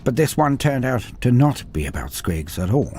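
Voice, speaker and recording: A man reading a scripted story aloud with dramatic, expressive delivery. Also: mid-range voice, normal speed, soft background music. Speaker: narrator.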